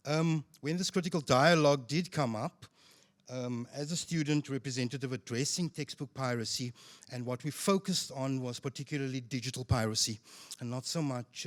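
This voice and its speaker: A man with a loud voice giving a formal panel presentation on stage into a handheld mic, measured and dotted with ums. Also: mid-range voice. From South Africa. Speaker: student representative speaker. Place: event stage.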